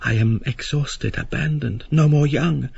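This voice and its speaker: A man playing a meek, submissive middle-aged character in an audiobook drama, speaking in florid, pompously wordy, halting phrases. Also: medium pitch, vocal fry, a little mellow, breathy edge, no room sound, dull audio, heavily acted. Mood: cowed, yearning.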